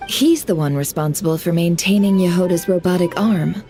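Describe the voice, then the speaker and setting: An actress playing a composed, shrewd and secretive woman in a video game, speaking in poised, formal, measured phrases. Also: lowish voice, dark tone, soft background music, natural voice. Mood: cool, probing. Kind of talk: video game story dialogue.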